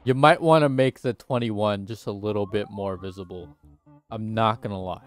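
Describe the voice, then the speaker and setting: A man's voice: fairly low pitch, normal speed, clear, resonant tone, faint music behind. A man in his twenties chatting casually on a game livestream through a headset mic, rambling and laughing at himself. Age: 20s. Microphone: headset mic at desk.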